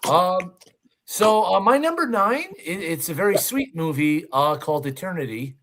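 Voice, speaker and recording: A man's voice: high-pitched, animated, moderate pace, fairly bright tone. Hesitant man in casual film chat. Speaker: co-host of a movie countdown show.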